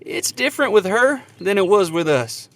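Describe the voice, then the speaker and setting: An earnest young suitor played by an actor in an audio drama. His voice sits high for a man and moves up and down freely, sheepish and devoted. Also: very animated, normal speed, fairly bright tone, no echo.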